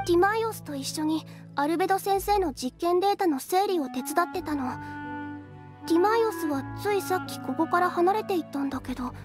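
An earnest young alchemist girl in an anime game. Her voice is very high and girlish, shy and a little flustered, with music playing behind her. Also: lively intonation, brisk. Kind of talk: Japanese anime game voice acting.

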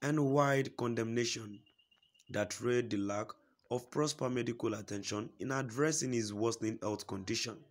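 A man reading a news report aloud in a steady, formal way, quoting a statement at length. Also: mid-range voice, moderate pace. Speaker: news reader.

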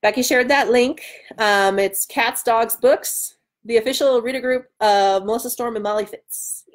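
A woman in her thirties hosting a casual live stream from home, loud, excitable and bantering as she answers comments. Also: fairly high pitch, normal speed. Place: room at home by a large window.

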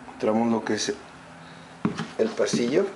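A man giving a slow, methodical walkthrough of an empty home, listing its features room by room. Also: fairly low pitch, gravelly, background noise. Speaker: home sales guide. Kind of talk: walkthrough narration.

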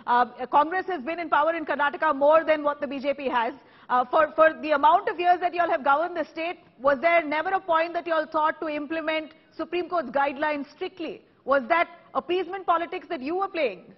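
A woman's voice: high-pitched, a little fast, hardly any pauses, dull audio. A woman news anchor in her thirties with an Indian accent, putting assertive, confrontational questions in a TV debate. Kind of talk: debate moderation, challenging questions. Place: TV news studio.

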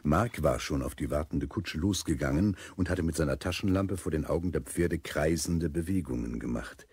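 An actor as the composed narrator of a children's adventure audio drama, with a husky voice. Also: male voice, very deep voice, moderate pace, a little mellow, dry recording, heavily acted. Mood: dramatic, composed.

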